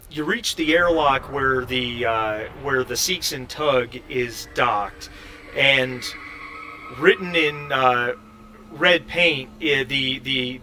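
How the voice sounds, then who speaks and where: Male game master, crisp bright tone, mid pitch, hesitant improvised narration, faint background hum. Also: rough recording. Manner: halting, hesitant phrasing.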